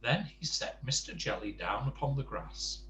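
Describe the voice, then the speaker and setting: An older man reading a bedtime story to grandchildren, expressive, with chatty asides and questions to the young listener. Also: medium pitch, normal speed, slight background noise, low-quality recording.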